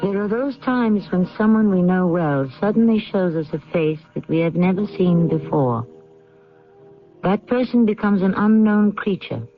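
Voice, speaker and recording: Solemn male radio host, tinny old recording. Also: high-pitched. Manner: deliberate, grave, literary phrasing. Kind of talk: solemn scripted radio narration.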